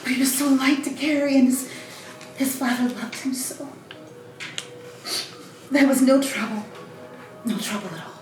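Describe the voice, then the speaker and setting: A woman playing a tender, grieving mother in a theatrical reading, halting and wistful. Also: high voice, a little mellow. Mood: tender grief. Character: grieving mother, tender, bravely holding herself together. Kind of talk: theatrical dramatic stage reading. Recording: echoey room, distant mic, music underneath.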